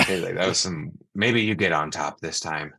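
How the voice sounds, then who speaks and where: A man's voice: sits low, creaky, sharp tone, no room sound, rough recording. A man co-hosting a comedy podcast, giggling and spinning silly bits in crude banter. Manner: giggly, quick riffing, doing silly bits. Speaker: podcast co-host.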